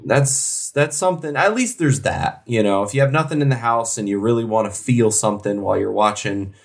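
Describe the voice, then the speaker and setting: A man's voice: lowish voice, brisk, vocal fry. A man co-hosting a casual beer podcast, easygoing and jokey. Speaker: podcast co-host.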